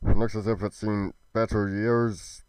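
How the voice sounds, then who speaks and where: A man's voice: fairly low pitch, little pitch movement, a little slow, darkish tone, clean tone, no room sound, natural voice, rough recording. A man voicing an earnest, chivalrous fantasy warrior with formal, archaic phrasing, in an amateur roleplay drama. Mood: pensive. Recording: music under the voice.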